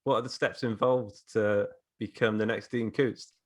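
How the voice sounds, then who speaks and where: A man's voice: fairly low pitch, steady tone, normal speed. A man who co-leads a course, explaining casually and a little hesitantly on a podcast. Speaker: writing course co-leader.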